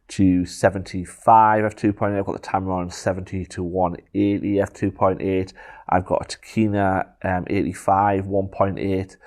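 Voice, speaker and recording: A middle-aged man with a broad Geordie accent and a very low, deep voice talks through viewer questions to camera. He is unhurried and chatty, filling pauses with ums and drifting into asides before finding his way back. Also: a little mellow. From northeast England. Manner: unhurried, frequent ums, digressive. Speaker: photography YouTuber. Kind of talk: casual Q&A talk to camera.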